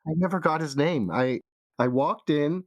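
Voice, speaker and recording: A man voicing a flustered, regretful woman in a tabletop game, halting and earnest as a wife pleading her case. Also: a little high, lively intonation, dry recording, clean studio sound. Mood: earnest, uneasy. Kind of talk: tabletop roleplay acting.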